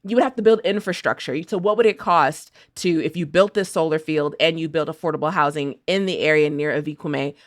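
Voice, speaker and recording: Lowish voiced lady, super casual and playful, slangy, dry close podcast audio. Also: a little fast, few pauses, dry recording. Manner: slangy, playful, mimics others in asides. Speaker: podcast contributor. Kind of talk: casual chat.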